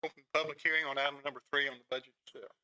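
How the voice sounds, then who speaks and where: A man's voice: medium pitch, flat delivery, racing, pushed and bright, poor audio. A man chairing a council meeting as mayor, formally reading items aloud. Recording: public meeting recording, faint noise.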